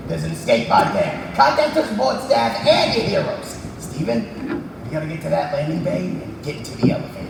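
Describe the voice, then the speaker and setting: Guy doing gruff old mentor, creaky, kinda high, stage acting in a big echoey hall. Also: big pitch swings, brisk, heavily acted. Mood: commanding. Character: gruff old mentor warrior, weary but protective. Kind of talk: live readers theatre acting. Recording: echoey hall from far off, noisy.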